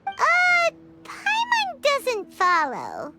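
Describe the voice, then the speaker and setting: A woman voicing a tiny, excitable, childlike and cheeky fairy companion in a video game, squeaky and exclamatory. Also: very slow, very noisy, exaggerated voice. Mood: indignant, puzzled. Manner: squeaky, exclamatory, speaks of herself by name. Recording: music playing behind the voice.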